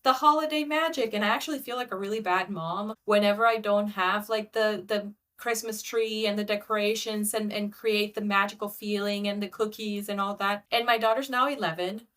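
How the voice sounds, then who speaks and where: A woman from Cuba speaks candidly in a relaxed podcast chat. Her voice is mid-pitched and she tells her stories in an animated way with quick asides. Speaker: podcast co-host. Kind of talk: casual podcast conversation.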